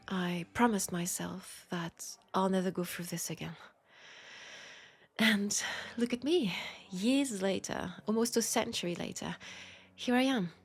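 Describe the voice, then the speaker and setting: A woman playing a lonely, centuries-old female vampire in an intimate audio drama, with a French accent and a soft, confiding, unhurried delivery. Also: mid-range voice. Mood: intimate, seductive. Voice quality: soft, breathy, often dropping to a whisper. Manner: unhurried, confiding, pausing between phrases.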